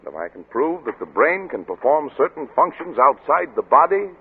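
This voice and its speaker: An actor playing an arrogant, obsessed middle-aged scientist, brisk and lecturing, in old radio drama style. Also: male voice, a little high, sing-song, husky, exaggerated voice. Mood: curt, insistent. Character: obsessed, arrogant male scientist, cold and impatient. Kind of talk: 1940s radio drama acting. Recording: narrow-band, noisy old radio recording.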